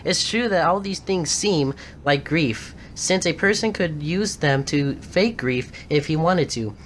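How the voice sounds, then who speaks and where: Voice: mid-range voice, a little slow, a little hiss or hum, close to an everyday voice. An actor playing a gloomy, weary young prince in mourning, speaking theatrical Shakespearean lines in broken, declamatory exclamations. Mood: bitter, subdued. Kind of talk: theatrical Shakespearean soliloquy and dialogue. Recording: music playing clearly behind the voice.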